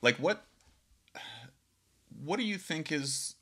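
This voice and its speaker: A man hosting a podcast, telling animated stories with frequent laughter and lots of "like" fillers. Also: a little high, slow pace. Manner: animated storyteller, laughs often, many "like" fillers. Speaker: podcast host. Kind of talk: casual conversation.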